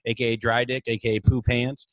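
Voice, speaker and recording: A man's voice: mid-range voice, level tone, brisk, a bit bright, no room sound. A man hosting a casual comedy podcast, crude and self-mocking, laughing often, with a light lisp. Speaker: podcast host.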